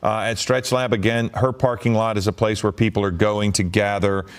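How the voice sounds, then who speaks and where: A man anchoring live breaking news coverage, ad-libbing in a halting way with repeated words. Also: fairly low pitch, flat delivery, clean tone.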